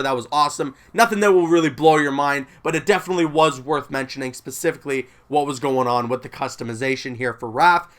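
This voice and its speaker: Higher-voiced man, enthusiastic gaming host. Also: fairly bright tone. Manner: enthusiastic, emphatic, conversational. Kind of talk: casual video game news commentary.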